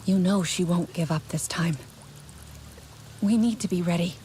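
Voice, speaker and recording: An actress voicing a steady, caring young warrior woman in a video game. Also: fairly low pitch, moderate pace, slight background noise, close to an everyday voice. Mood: resolute.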